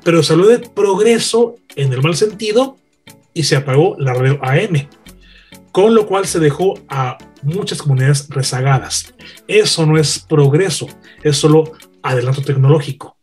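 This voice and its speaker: A man with a Mexican accent giving earnest, measured, eloquently phrased commentary as a broadcaster on a panel. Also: fairly high pitch, darkish tone, slightly rough, faint music behind. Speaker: radio broadcaster, panel guest.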